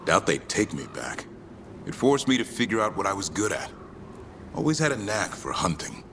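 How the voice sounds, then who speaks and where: An actor playing a proud, adventurous elf monster hunter in a video game, talking in short, clipped sentences. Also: male voice, fairly low pitch, big pitch swings, moderate pace, noisy background. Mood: reflective. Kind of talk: video game dialogue acting.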